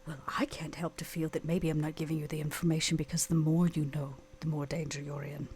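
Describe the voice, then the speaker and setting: A woman playing a curt, exasperated vampire boss in a tabletop game, with clipped, withering delivery and a husky voice. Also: low-pitched, level tone, fast talker, darkish tone, slight background noise, pitched below the performer's own voice, natural voice.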